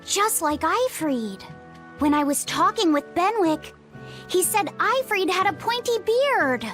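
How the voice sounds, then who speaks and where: A woman's voice: very high-pitched, lively intonation, a little slow, fairly bright tone, soft background music, heavily acted. A woman voicing an earnest, timid young boy in a fantasy video game. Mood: earnest, curious. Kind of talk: game voice acting.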